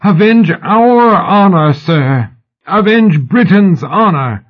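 Male narrator as young London woman, shrill, high-pitched, strained, indignant pleading, storybook delivery, slightly dull audio. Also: takes it slowly. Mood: shrill, desperate. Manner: declamatory, pleading.

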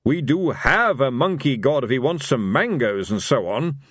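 A man's voice: medium pitch, expressive, moderate pace, a bit bright, dry recording, voice pushed up. A man voicing an elderly, absent-minded male god who talks hesitantly and searches for words in a storybook reading. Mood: benign, grand.

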